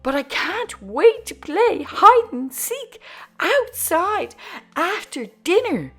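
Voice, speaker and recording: A woman voicing eager, excitable young schoolchildren from a storybook, in an exclaiming, emphatic manner. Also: very high voice, takes it slowly, voice pushed up, exaggerated voice. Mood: excited.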